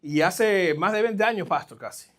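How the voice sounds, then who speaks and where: A middle-aged man with a Caribbean Spanish accent giving a conversational, halting sermon as a guest pastor, addressing the congregation directly. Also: high voice, a bit bright. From New Jersey, of Caribbean family. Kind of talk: live sermon, personal testimony. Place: church, speaking to a congregation.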